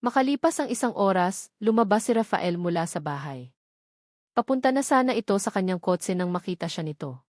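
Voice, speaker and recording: A woman's voice: mid-range voice, lively intonation, fairly quick, a little mellow, no echo, studio quality. A woman storyteller giving a scripted, dramatic narration of a romance story.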